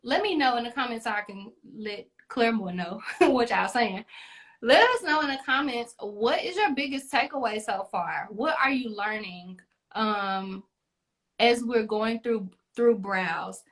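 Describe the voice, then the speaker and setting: Female beauty host, higher register, casual and chatty, playful and encouraging with the audience. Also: lively intonation, unhurried, fairly bright tone. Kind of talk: casual chat.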